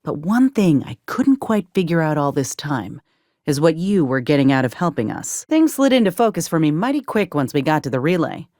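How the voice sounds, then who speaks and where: An actress playing a gruff, loyal sci-fi soldier and medic in a video game, speaking in a folksy, plainspoken, measured way. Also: female voice, deep voice, sing-song, mellow, no room sound, studio quality. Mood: earnest, measured. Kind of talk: video game companion dialogue.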